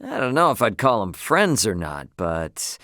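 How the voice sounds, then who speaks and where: Game role: brash young male space captain, slangy and good-hearted, lively swooping pitch, dry close sound. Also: normal speed, dry recording, heavily acted. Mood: casual, friendly. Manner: casual, slangy, plain-spoken. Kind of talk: video game voice acting.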